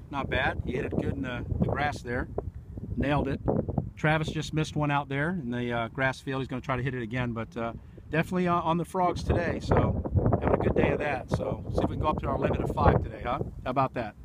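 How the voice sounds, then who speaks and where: A man's voice: mid-range voice, fairly quick. Middle-aged male fishing host, casual and encouraging play-by-play, heavy outdoor noise on camera mic. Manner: repeats short phrases, encouraging. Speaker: fishing vlog host filming. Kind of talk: casual running commentary while fishing. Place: outdoors on a grassy lake shore. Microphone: handheld camera mic. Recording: very noisy windy outdoor camera audio.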